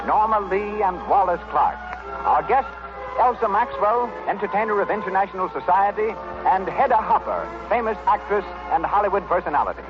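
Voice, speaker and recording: A man with an American accent making formal, declamatory scripted announcements in the style of 1930s radio. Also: high-pitched. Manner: formal, declamatory showman delivery. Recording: vintage 1930s radio, dull, music behind.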